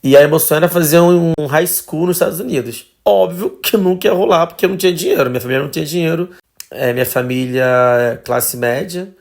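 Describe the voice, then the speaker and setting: A man in his thirties with a Carioca accent, telling his life story as a podcast guest in a relaxed, conversational way. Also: on the high side, fast, gravelly. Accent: Carioca Portuguese. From Rio de Janeiro, Brazil. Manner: relaxed, conversational, with fillers and trailing phrases. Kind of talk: casual personal storytelling.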